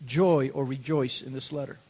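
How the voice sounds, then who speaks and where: A man from Cuba preaching in English through an interpreter, emphatic and building to loud peaks. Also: mid-range voice, steady tone, unhurried. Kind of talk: bilingual sermon with interpreter.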